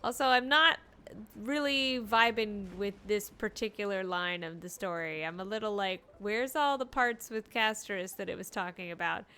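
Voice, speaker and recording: Playful woman streamer with a bright voice. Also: mid-range voice, moderate pace, clear, resonant tone. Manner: playful, sarcastic, animated. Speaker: game streamer. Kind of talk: casual live gameplay commentary. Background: game music.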